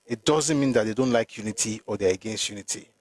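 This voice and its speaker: A man's voice: fairly low pitch, fast. A middle-aged man with a Nigerian accent, hosting an emphatic, argumentative opinion monologue with frequent restarts. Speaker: host.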